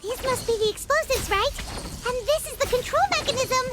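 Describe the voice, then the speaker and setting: A woman voicing an excitable, timid little fairy companion in a video game who talks about herself in the third person. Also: very high voice, brisk. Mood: chirpy. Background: game music.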